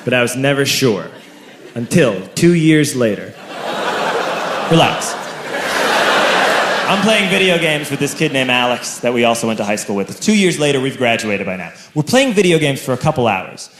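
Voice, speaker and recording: A man's voice: mid-range voice, big pitch swings, moderate pace, long pauses, a faint crowd, slight background noise. Man doing stand-up in an echoey club. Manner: conversational, building to shouted punchlines. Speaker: stand-up comedian. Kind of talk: live stand-up storytelling. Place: live venue with an audience. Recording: echoey club with audience laughter.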